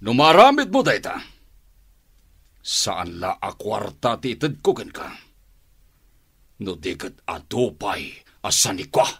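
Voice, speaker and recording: Gravelly, forceful old crime boss. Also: male voice, lowish voice, sing-song, slow pace, long pauses, heavily acted. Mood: menacing, commanding. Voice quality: gravelly, rough. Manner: forceful, commanding. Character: ruthless older crime boss and domineering father. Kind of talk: radio drama acting.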